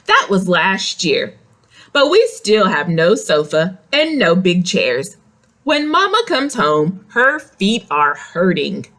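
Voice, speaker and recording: A woman reading a picture book aloud to young children, expressive and explanatory, speaking to them directly. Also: medium pitch, slow pace. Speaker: children's story reader. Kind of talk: animated read-aloud of a picture book with asides to young listeners.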